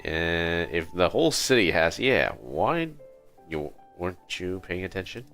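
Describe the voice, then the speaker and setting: Very deep-voiced, slow male game streamer. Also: sing-song, a bit bright. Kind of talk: casual livestream reactions to a game. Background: game music.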